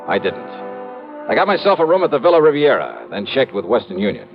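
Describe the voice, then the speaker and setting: A man's voice: mid-range voice, wildly swooping pitch, a little fast, vocal fry. An American man playing a confident, hard-boiled insurance investigator in a vintage radio drama, wry, clipped and casual. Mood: dry, matter-of-fact. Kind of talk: 1950s-60s radio detective drama, first-person narration. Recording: dull vintage radio recording, music behind.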